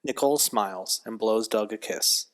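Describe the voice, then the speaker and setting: A man's voice: lowish voice, normal speed. A man giving scripted narration for an audio drama. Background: music bed.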